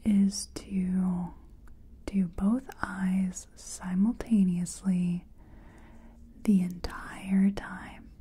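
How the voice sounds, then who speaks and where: A woman playing a nervous, gentle makeup artist in a soft-spoken ASMR roleplay, breathy and hesitant, often murmuring to herself. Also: medium pitch, flat delivery, extremely slow, exaggerated voice. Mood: focused, unsure. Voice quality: breathy, whispery.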